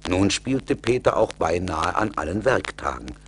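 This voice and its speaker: Casting: male fairy-tale narrator, deep register, lively intonation, radio play. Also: a little slow, a little hiss or hum.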